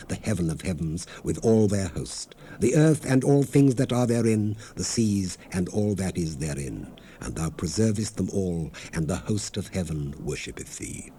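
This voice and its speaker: A man narrating scripture in a dramatized reading, grave and declamatory, with measured, emphatic phrasing. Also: lowish voice, dark tone. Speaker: narrator of a dramatized Bible recording. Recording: noisy 1970s cassette rip. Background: ambient sound effects, tape noise.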